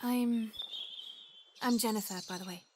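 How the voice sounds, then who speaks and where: A woman's voice: fairly high pitch, unhurried, noisy background, natural voice. An actress playing a rebellious, impulsive young maid in a video game, blunt in her speech. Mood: apologetic, friendly. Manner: blunt, impulsive, swears freely. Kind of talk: naturalistic video game acting.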